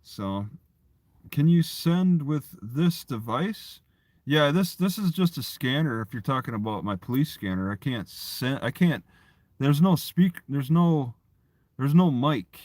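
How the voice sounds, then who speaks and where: A man's voice: mid-range voice, lively intonation, darkish tone, no room sound. A man chatting casually on a livestream, rambling and often restarting his phrases. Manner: halting, repeats and restarts phrases. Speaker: live streamer.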